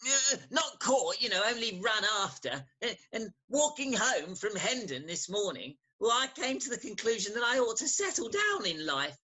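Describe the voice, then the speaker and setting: An actor with an English accent playing a bumbling, hungover young gentleman in a theatrical farce, hesitant and stammering. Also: mid-range voice, very animated, normal speed, few pauses, husky, heavily acted, rough recording. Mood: sheepish.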